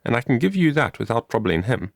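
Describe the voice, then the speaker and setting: A man's voice: medium pitch, big pitch swings, fast talker, vocal fry, no room sound, studio quality. Peevish young servant girl, played by a man, brusque, dismissive storybook character. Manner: brusque, grudging.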